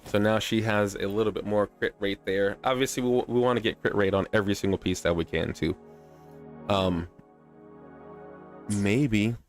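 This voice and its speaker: A man's voice: fairly low pitch, steady tone, normal speed, long pauses. A man streaming a video game with casual, chatty commentary, playful slang and a self-deprecating streak. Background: music.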